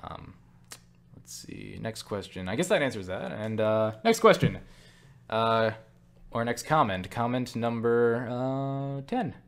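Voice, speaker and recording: A man's voice: mid-range voice, animated, slow pace. Young guy doing a rambly q&a on webcam, kinda tired, jokey, puts himself down, swears a bit. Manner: self-deprecating, joking, swears casually. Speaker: video creator answering viewer questions. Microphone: webcam setup.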